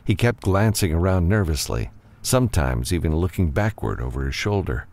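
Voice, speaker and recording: A man's voice: low-pitched, expressive, normal speed, darkish tone. A man reading scripted fiction as an audiobook narrator.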